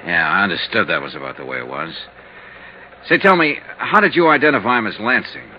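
A man's voice: fairly low pitch, big pitch swings, fairly quick, slight background noise. An American actor playing a cool, businesslike insurance investigator who narrates his case in clipped 1950s radio style. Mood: probing, cool. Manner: clipped, matter-of-fact delivery. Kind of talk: 1950s radio detective acting. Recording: muffled 1950s radio recording with music.

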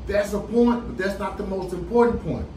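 A man's voice: high-pitched, normal speed, mellow, recorded from a distance. A middle-aged American man co-hosting a podcast, reading aloud and commenting in an emphatic, urging way. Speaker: podcast co-host. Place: small podcast studio, indoors. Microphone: boom-arm studio microphone with headphones. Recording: echoey room, off-mic, faint noise.

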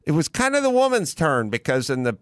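A man's voice: on the high side, very animated, a little fast, smooth, no echo, clean studio sound. An American man in his fifties hosting a podcast, rambling comedically and ranting as he acts out quotes. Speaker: podcast host. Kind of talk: comedic rambling talk show banter. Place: podcast studio. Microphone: close studio mic with headphones.

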